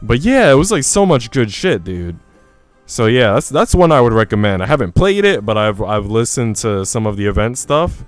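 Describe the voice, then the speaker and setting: A man's voice: medium pitch, very animated, normal speed, dry recording. A man streaming casually, chatting and reading in an informal, slangy way. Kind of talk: casual livestream chat and visual novel reading. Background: faint music.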